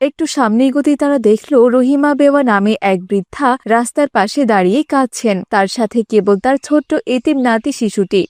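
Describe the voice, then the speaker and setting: A woman's voice: a little high, fairly quick, few pauses, a little mellow, dry recording, studio quality. A woman narrating a cartoon story in a solemn, emotional way.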